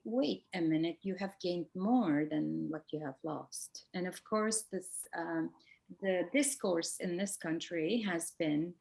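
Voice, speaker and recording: A woman's voice: on the low side, gravelly, a little mellow, rough recording. A middle-aged woman with a Turkish accent, asking questions conversationally and thinking aloud as she goes. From Turkey. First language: a minority language of Turkey. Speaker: interviewer. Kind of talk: conversational Q&A interview.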